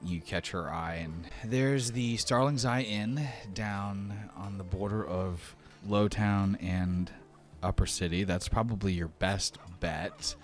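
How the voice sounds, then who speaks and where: A man's voice: deep voice, slow, soft background music. A man narrating a tabletop roleplaying game as game master.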